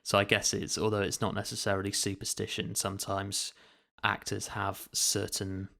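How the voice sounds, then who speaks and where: A man's voice: fairly low pitch, monotone, moderate pace, creaky, bright, forceful tone. A man co-hosting a casual podcast, explaining things in a hedging, conversational way. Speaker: podcast co-host.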